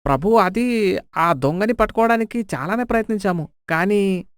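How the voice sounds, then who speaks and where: A man's voice: high-pitched, expressive, normal speed, clean tone, no room sound, clean studio sound. A man voicing a flustered, deferential royal minister who complains, in a dramatised folk tale. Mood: flustered, apologetic. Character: flustered, fawning royal minister, eager for harsh punishment.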